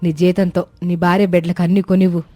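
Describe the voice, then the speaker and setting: A woman's voice: fairly low pitch, flat delivery, quick pace, dark tone, no echo, faint music behind, natural voice. A woman voicing a self-sacrificing middle-aged village mother in a cartoon story, with motherly, rustic colloquial speech. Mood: gently reproving.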